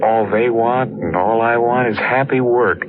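An actor playing a plain-spoken, earnest young American husband in a 1940s radio drama, with a folksy, boyish manner. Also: male voice, mid-range voice, unhurried, close to an everyday voice. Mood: earnest, resolute. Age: young adult. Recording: muffled, noisy old radio recording.

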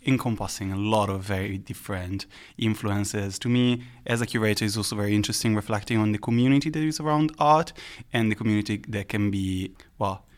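Lower-pitched man speaking reflectively. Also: steady tone, fairly quick. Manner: hesitant, searching for words. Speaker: art curator, podcast guest. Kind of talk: reflective interview talk.

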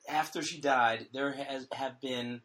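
A man with a hoarse voice, co-hosting a casual comedy podcast with wry, deadpan jokes. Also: medium pitch, measured pace. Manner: wry, deadpan jokey delivery with frequent restarts. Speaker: podcast co-host.

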